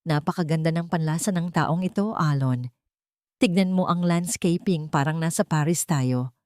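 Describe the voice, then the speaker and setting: A woman's voice: deep voice, moderate pace, a little mellow, no echo, studio quality, close to an everyday voice. A woman voicing a haughty, pretentious wealthy mother-in-law in a storybook, affected and commanding. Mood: impressed. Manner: affected, commanding, self-important.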